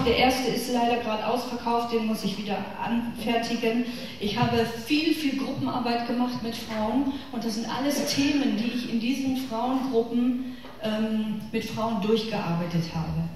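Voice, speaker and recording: A woman's voice: a little high, a little slow, darkish tone. A middle-aged woman with a northern German accent giving a chatty, informal faith talk to a women's church gathering, speaking to her listeners directly and personally. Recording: echoey hall from far off with room noise.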